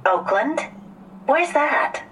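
A woman's voice: sits high, sing-song, very slow, fairly bright tone, distant mic, a little hiss or hum, full character voice. An actress playing a wary, defensive middle-aged mother in an audio drama, heard on a recorded phone call. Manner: questioning, defensive. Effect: played-back phone call recording.

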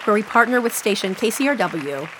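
A woman's voice: medium pitch, lively intonation, fairly quick, crowd noise, noisy background. A woman with an American accent hosting a radio program in scripted narration. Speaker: radio show host.

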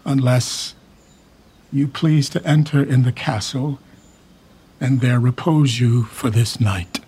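An actor playing a weary royal uncle in a Shakespeare radio drama, speaking verse in a measured, declamatory way. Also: male voice, medium pitch, steady tone, warm tone, slightly rough. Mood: resigned. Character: aging royal uncle, weary and torn, reluctantly neutral. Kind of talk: Shakespearean stage acting for radio.

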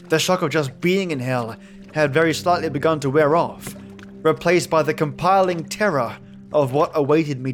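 Higher-voiced man narrating horror over music. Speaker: storyteller. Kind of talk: scripted first-person dramatic horror narration.

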